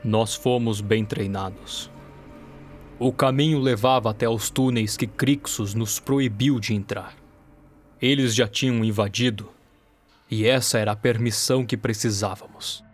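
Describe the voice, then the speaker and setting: A man's voice: fairly low pitch, steady tone, unhurried. A man with a Brazilian accent giving dramatic first-person narration for an audio drama. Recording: music bed under the voice. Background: music.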